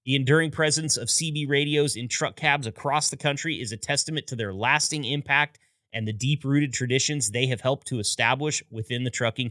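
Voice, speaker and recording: Bright, crisp male podcast narrator. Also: medium pitch, no echo, clean studio sound. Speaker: podcast host. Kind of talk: scripted narration.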